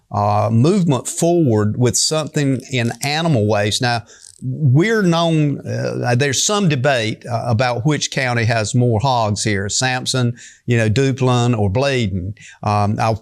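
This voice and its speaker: A male talk show host, mid-pitched, who frames his questions in long, looping runs. He restarts and doubles words as he builds up to each question. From Eastern North Carolina. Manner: rambling, repeats words while framing questions. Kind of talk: casual interview.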